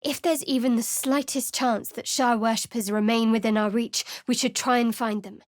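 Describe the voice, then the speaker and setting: A woman's voice: fairly high pitch, steady tone, moderate pace, no echo, studio quality, lightly acted. A woman voicing a guarded, secretive young female cleric in a video game, speaking in a cool, wry, clipped way. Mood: firm. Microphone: dry close studio mic.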